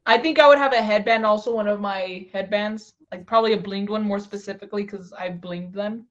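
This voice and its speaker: A woman's voice: medium pitch, fairly even intonation, a little fast. A woman from Texas with a mid-range voice co-hosts a casual fan podcast. She rambles along informally. The audio is somewhat dull and lo-fi. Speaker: podcast co-host.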